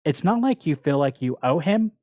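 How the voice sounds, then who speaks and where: A man's voice: fairly high pitch, sing-song, moderate pace, no room sound, narrow, tinny audio. A man co-hosting a book club podcast, talking casually and explaining his thoughts in a reflective way.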